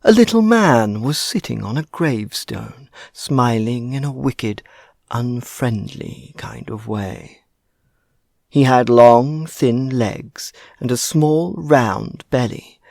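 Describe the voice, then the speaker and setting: A man narrating a children's story in a theatrical, deliberate, dramatic style. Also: mid-range voice, vocal fry, darkish tone, dry recording, clean studio sound.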